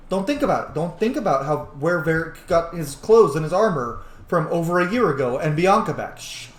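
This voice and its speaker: A man's voice: fairly high pitch, animated, brisk, roomy sound. A man giving casual, sweary let's play commentary as a game streamer, joking and emphatic. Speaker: streamer playing a role-playing game.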